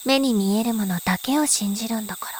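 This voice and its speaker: An actress voicing a calm, mysterious older sister who speaks softly and philosophically in a voice drama. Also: female voice, on the high side, a little hiss or hum, lightly acted. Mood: musing. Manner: slow, soft, musing. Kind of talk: voice drama acting. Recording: faint insect and cricket ambience.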